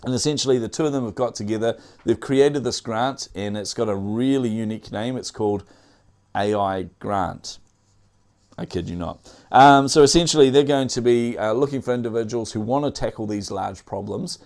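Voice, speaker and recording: A man's voice: medium pitch, moderate pace, a little mellow. A man with a New Zealand accent hosting a casual tech news show, chatty, with frequent fillers and dad jokes.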